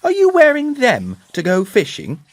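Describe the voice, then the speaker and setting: Man voicing a high-pitched, cautioning mum. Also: wildly swooping pitch, moderate pace, no room sound, heavily acted. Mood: disapproving. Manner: admonishing, emphatic. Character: practical, cautioning mother in a storybook.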